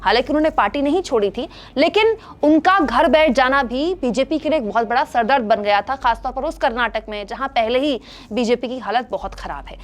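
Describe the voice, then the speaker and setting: A woman news presenter delivering scripted political commentary straight to her viewers. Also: sits high.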